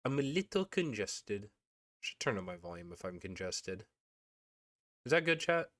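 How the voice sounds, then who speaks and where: A man's voice: on the low side, very animated, moderate pace, fairly bright tone, dry recording, clean studio sound. A young man in his late teens, congested, reacting to news on a livestream with frustrated, profane asides. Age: young adult. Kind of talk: casual livestream commentary.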